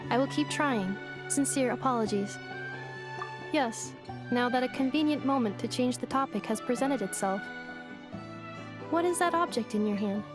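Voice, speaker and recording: A woman's voice: fairly high pitch, normal speed, long pauses, close to an everyday voice. A woman voicing a literal-minded female android. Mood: inquisitive. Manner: flat, precise, formal machine-like phrasing. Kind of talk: game voice acting. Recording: game dialogue over music. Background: game score music.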